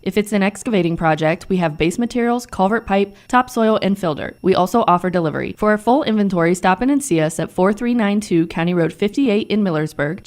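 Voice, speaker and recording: Mid-pitched woman reading a retail ad. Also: a little mellow. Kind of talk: scripted retail ad voice-over.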